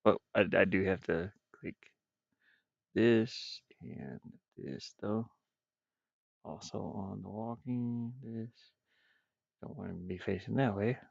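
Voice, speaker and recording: A man with a gravelly voice rambles and mutters to himself, thinking aloud casually as he streams his game development work. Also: fairly low pitch, takes it slowly, pauses often, no echo. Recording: dull, muffled, dry lo-fi microphone.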